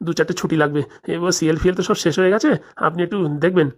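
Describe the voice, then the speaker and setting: A man's voice: fairly high pitch, very fast, natural voice. A man voicing an eager, wily schoolteacher who fawns on his boss in a comedy cartoon. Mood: eager, wheedling. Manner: obsequious, over-assuring.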